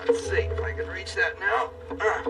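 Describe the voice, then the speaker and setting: A man playing a kindly, easygoing handyman in a children's television show, talking in a gentle, folksy, reassuring way. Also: high-pitched, sing-song, normal speed, creaky, far from the mic, heavy background noise, exaggerated voice. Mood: helpful, kindly.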